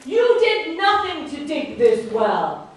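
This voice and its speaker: A woman's voice: fairly high pitch, lively intonation, very slow. A woman voicing a stern, fair male lion king who speaks solemnly, recorded from a distance in an echoey room. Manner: solemn, commanding.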